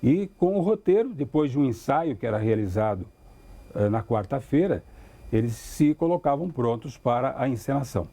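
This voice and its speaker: A man with a Brazilian accent speaks in a husky, relaxed voice at a medium pitch. He reminisces as an interviewee, with articulate, formal wording and frequent tag questions. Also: moderate pace. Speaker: documentary interviewee.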